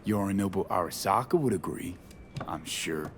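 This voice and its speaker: A tough young video game mercenary. The voice sits fairly low and comes in terse, cool fragments, stubborn and unfussy, over a faint rumble. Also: male voice, expressive, normal speed. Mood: dry, determined. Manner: terse, drops subjects, matter-of-fact. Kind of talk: video game dialogue acting.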